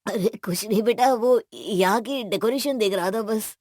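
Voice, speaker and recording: A woman's voice: medium pitch, normal speed, darkish tone, no room sound, studio quality, lightly acted. A woman voicing a sentimental, pleading middle-aged father of a bride in an audio drama. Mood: sorrowful.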